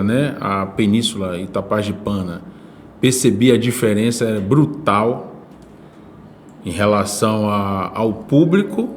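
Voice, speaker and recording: A man's voice: mid-range voice, a little hiss or hum. A man from Salvador with a Bahian Brazilian accent, hosting a podcast in a casual, conversational way. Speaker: podcast host.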